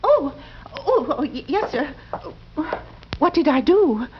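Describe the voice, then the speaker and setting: A woman's voice: high-pitched, sing-song, takes it slowly, full character voice. A woman playing an anxious, deferential working-class landlady, hesitant and eager to please, in 1940s radio drama style. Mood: flustered, pleading. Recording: muffled, noisy 1940s radio recording.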